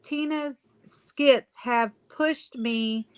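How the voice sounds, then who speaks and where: A woman from Ohio, a stage actress, talking in a sassy, quick, emphatic way on a podcast. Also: fairly high pitch, no echo, poor audio. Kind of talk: casual podcast chat.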